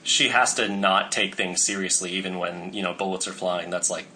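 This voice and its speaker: Bright-voiced man talking casually. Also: deep voice, steady tone, moderate pace, a little hiss or hum. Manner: informative, enthusiastic, many "um" fillers. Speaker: podcast co-host presenting cast info. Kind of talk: casual chat.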